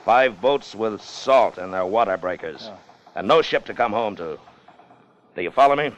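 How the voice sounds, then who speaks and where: Ruthless mutineer officer played by a male actor, deep-toned, authoritative and dramatic, vintage radio with light noise. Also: lively intonation, moderate pace, lo-fi sound, natural voice. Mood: persuasive, bold. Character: ruthless, commanding ship's officer plotting mutiny for gold. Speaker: lead character and narrator. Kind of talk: 1950s radio acting. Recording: noisy vintage 1950s radio recording.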